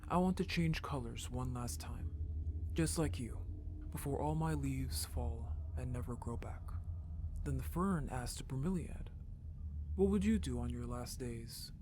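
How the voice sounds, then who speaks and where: A man voicing an earnest young rebel in an audio drama, who speaks softly when telling a story and gets urgent when worried. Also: medium pitch, unhurried, background noise, lightly acted. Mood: storytelling. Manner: soft storytelling, turns urgent and upset.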